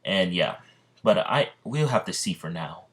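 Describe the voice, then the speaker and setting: Sleepy, low-voiced young man rambling. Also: lively intonation, unhurried, breathy edge. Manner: rambling, many ums and restarts. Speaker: YouTuber narrating a fan story. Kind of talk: casual improvised storytelling.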